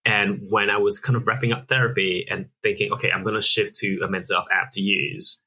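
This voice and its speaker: A man's voice: fairly low pitch, level tone, fairly quick, pushed and bright, slightly rough, rough recording. A man in his thirties from Singapore, a founder answering interview questions earnestly, explaining at length with frequent restarts. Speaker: app founder guest.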